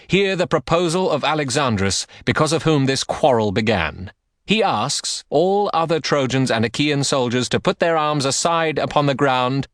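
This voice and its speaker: A man's voice: mid-range voice, no echo, studio quality, voice pushed up. A man playing a commanding warrior prince. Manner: loud, commanding proclamation.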